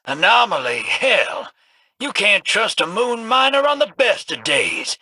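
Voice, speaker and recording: A wisecracking old gunslinger. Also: male voice, sits high, very animated, a little slow, bright, forceful tone, husky, dry recording, exaggerated voice. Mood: wry. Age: older. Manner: folksy, drawling, wisecracking. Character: cocky old male gunslinger rogue, folksy and wisecracking. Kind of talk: video game voice lines.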